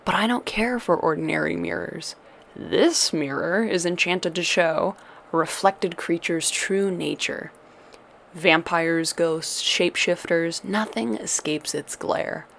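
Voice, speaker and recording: A woman's voice: on the low side, slow, vocal fry, fairly bright tone, slight background noise, full character voice. A woman playing a tender, doting witch girlfriend who soothes a monster lover with old-fashioned endearments in an ASMR audio roleplay. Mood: calm, instructive.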